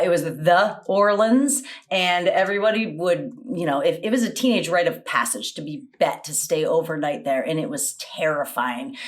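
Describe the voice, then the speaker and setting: A woman in her forties is a comedy quiz panelist on a video call. She improvises a mock explanation in a medium-pitched voice.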